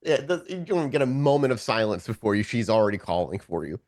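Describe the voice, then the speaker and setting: A man's voice: lowish voice, very animated, quick pace, a little mellow, dry recording, clean studio sound. Man narrating a tabletop roleplay game. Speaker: game master, storyteller. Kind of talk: improvised tabletop game narration, casual and descriptive.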